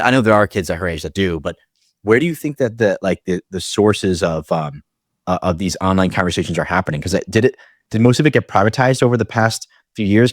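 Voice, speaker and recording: A man's voice: fairly low pitch, no room sound, clean studio sound. A young man hosting a podcast, asking long rambling questions full of fillers and restarts. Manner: rambling, hesitant, many fillers. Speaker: podcast host. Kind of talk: casual interview questions.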